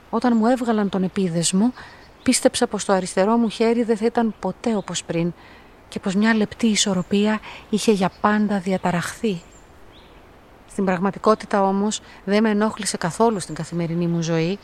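An actress playing a quiet, lonely young woman who tells her own story in a radio play, in a calm, measured, reflective way. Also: medium pitch, dry recording, close to an everyday voice. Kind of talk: radio play monologue, literary first-person narration.

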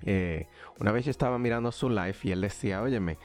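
Podcast host guy, lowish voice, upbeat motivational chat, faint music behind. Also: fairly quick, a little mellow. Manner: encouraging, motivational, frequent restarts. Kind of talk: casual conversational interview.

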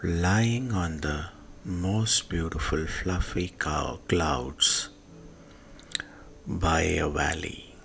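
A man with an extremely deep voice guides a sleep meditation very slowly. His delivery is hushed, with long pauses and a gravelly fry in the low notes. Phrases often trail off into breath. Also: slight background noise. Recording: soft music behind the voice. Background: soft music.

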